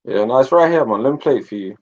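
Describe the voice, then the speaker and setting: A man's voice: mid-range voice, lively intonation, rapid-fire, darkish tone. A man hosting a casual online discussion, pushy and teasing, mixing in Somali and Arabic words. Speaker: host. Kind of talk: casual chat. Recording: dull lo-fi online call audio.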